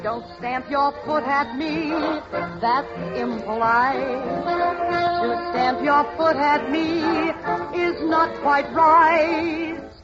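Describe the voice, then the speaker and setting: A woman's voice: sits high, fairly even intonation, very slow. A woman singing a comic song in 1940s radio style. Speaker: comic singer. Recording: muffled vintage radio with loud music.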